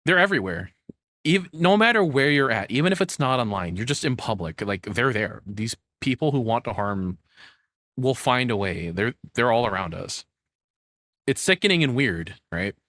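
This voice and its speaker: A man's voice: mid-range voice, expressive, moderate pace, a bit bright, dry recording, clean studio sound. A man chatting casually as a livestreamer, rambling and swearing in an informal way. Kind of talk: casual reaction stream chat.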